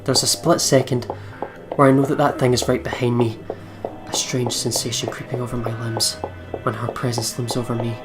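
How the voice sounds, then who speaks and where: A man's voice: mid-range voice, little pitch movement, normal speed, loud music behind the voice. A man hosting a let's play, reading visual novel narration aloud in a dramatic storytelling manner.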